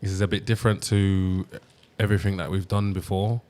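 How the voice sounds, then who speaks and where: A man hosting an interview, asking slow, hesitant questions full of fillers. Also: low voice, little pitch movement, darkish tone. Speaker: podcast host. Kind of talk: casual interview.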